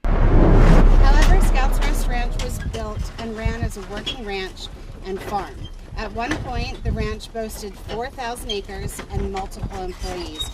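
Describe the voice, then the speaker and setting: A woman's voice: medium pitch, takes it slowly. A woman giving a history narration as a tour guide. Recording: distant microphone, loud vehicle noise.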